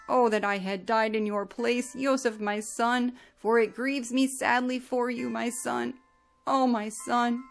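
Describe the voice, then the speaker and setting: A heartbroken old father of a storybook tale cries out his lament, his voice climbing high and ringing out loud as a woman reader puts him on. Faint music plays softly behind the reading. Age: older. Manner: wailing, lamenting.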